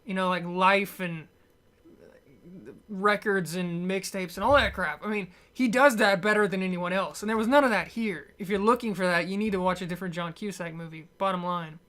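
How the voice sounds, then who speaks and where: A woman reviewer talks casually in a mid-pitched voice. She rambles through her opinions bluntly, in the loose style of an off-the-cuff rant. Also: moderate pace, a bit bright. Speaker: movie reviewer.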